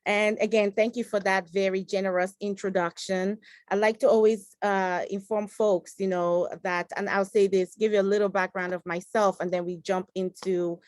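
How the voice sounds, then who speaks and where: A woman from Nigeria, a physician and professor, whose first language is Igbo, speaking as a guest in an earnest, articulate, measured way with emphatic stresses. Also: medium pitch, flat delivery, darkish tone, no room sound. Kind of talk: interview on a talk show.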